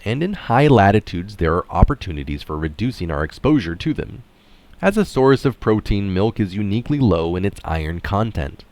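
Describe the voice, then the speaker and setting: A man's voice: low-pitched, a little mellow. A man reading an article aloud in narration style, dropping in casual, opinionated asides of his own. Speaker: reader of an article adding his own comments.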